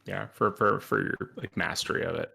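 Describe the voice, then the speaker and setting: A man running a tabletop game session as game master, halting and full of fillers, sometimes muttering to himself. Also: low voice, fairly even intonation, creaky, bright, forceful tone.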